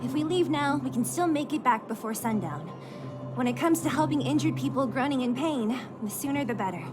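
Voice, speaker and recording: A woman's voice: sits high, animated, a little fast. A woman voicing a tough, blunt, cocky young female fighter in a video game. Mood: brisk, dismissive. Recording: game music behind the voice. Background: music.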